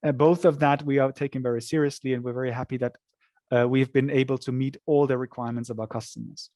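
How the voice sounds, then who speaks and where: A man with a mid-pitched voice gives explanatory answers in a webinar interview. He is measured and occasionally repeats a word while finding his phrasing. The recording is dry, with very little room sound. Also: fairly even intonation, darkish tone, no echo. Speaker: software company representative answering questions.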